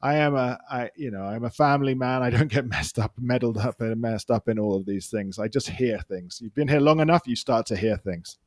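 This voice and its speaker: A man's voice: mid-range voice, brisk, few pauses, no echo. Rambling London man, dry close sound. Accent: London English. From England. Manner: rambling, many restarts and fillers. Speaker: podcast guest, journalist. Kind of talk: casual interview chat.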